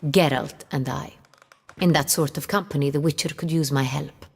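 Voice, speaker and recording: An actress playing a refined, commanding duchess in a video game, speaking in a formal, imperious manner. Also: female voice, sits low, fairly even intonation, moderate pace, vocal fry, husky, full character voice. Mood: firm. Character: commanding, refined noble duchess, decisive ruler. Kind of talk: scripted video game dialogue. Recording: long hall reverb.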